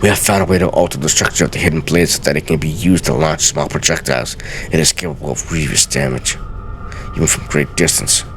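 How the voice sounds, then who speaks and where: A man's voice: quick pace, background noise. A man playing an aged master assassin, grave, weary and theatrical, reading out solemn journal entries from a video game. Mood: measured, proud. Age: older. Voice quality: very deep, gravelly.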